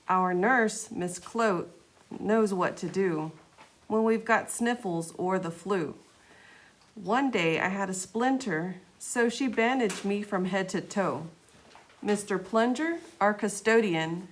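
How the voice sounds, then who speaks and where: A woman reading a children's story aloud. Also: medium pitch. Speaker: school staff member reading aloud.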